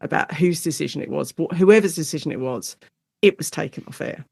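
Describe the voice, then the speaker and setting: A British woman speaking as an expert guest in a conversational interview, explaining history in an informal, animated storytelling way. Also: sits low, brisk, gravelly, husky, dry recording. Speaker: guest expert, lecturer and author on Shakespeare in radio.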